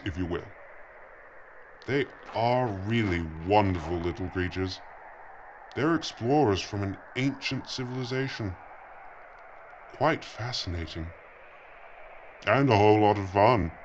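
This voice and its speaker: Man playing a low, condescending villain. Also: dull audio, background noise. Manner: condescending, mock-polite. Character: smug, cruel mad villain allied with aliens. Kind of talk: audio drama acting.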